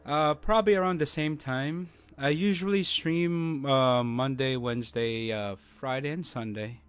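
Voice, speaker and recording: A man's voice: medium pitch, a little slow, clear, resonant tone, faint music behind. A man with a Filipino accent, casually chatting with teammates while streaming a game from home on a desk mic. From Philippines. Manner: laid-back, chuckling, chatty with teammates. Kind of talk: casual gaming stream chat. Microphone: desk microphone.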